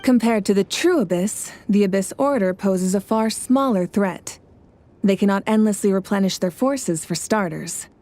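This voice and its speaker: Composed female leader for a game actress, mid-range voice, regal, measured, authoritative and reassuring. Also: medium pitch, warm tone, close to an everyday voice. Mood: grave, resolute. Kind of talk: video game dialogue acting.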